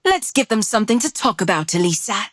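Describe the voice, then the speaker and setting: A woman's voice: medium pitch, very animated, fast talker. A woman playing a poised, confident young gym leader in a video game, elegant and playful.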